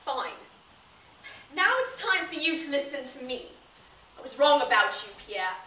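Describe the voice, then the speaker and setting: A woman's voice: high voice, sing-song, measured pace, pushed and bright, exaggerated voice. A woman playing a genteel young heiress with a well-bred, dramatic manner, recorded far from the mic in an echoey room.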